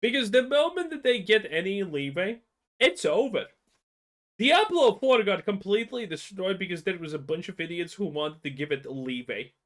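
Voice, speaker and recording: Blunt man streaming, lively and high. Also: normal speed. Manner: blunt, profane, heated opinion. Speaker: streamer reacting to a video. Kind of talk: casual reaction commentary.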